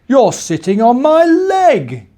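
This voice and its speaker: Man putting on a loud, rumbling storybook giant. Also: huge pitch swings, slow, exaggerated voice. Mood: gruff, indignant. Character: big gruff forest giant, boastful and eager. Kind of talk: children's storybook character reading.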